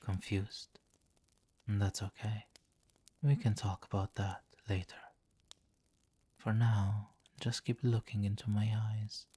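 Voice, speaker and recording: A man voicing a seductive, domineering vampire king in an ASMR roleplay, softly commanding and condescending, coaxing in a hypnotic murmur that often nears a whisper. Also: on the low side, steady tone, slow pace, long pauses, breathy edge.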